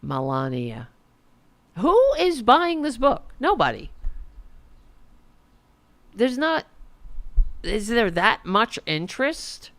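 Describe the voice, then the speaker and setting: Sarcastic woman livestreamer with a gravelly edge. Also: a little high, big pitch swings, takes it slowly, pauses often, fairly bright tone. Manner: sarcastic, profane, mocking. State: losing her voice. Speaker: political commentary host. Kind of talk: casual livestream commentary.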